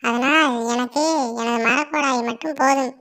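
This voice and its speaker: A woman's voice: high-pitched, fairly even intonation, normal speed, bright, forceful tone. A woman voicing an honest, hardworking little sparrow in a children's cartoon story, wailing and pleading. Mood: earnest.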